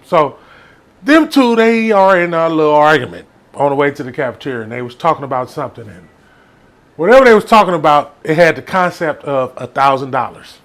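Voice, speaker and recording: Male comic storyteller, Southern US accent, slightly high voice, casual, rambling, animated. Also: fairly quick. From Texas, United States.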